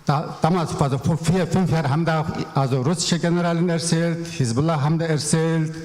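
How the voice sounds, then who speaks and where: A woman making a contribution at a seminar, speaking halting, German. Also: sits low, steady tone, a little mellow. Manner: halting, non-native German. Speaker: audience member. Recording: echoey hall.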